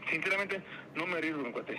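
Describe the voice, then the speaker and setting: A man's voice: fairly high pitch, lively intonation, fast talker, noisy background. A man with a Mexican accent on a phone call with a customer, polite and hesitant, often repeating himself. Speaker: furniture cleaning service worker. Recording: phone call with music behind.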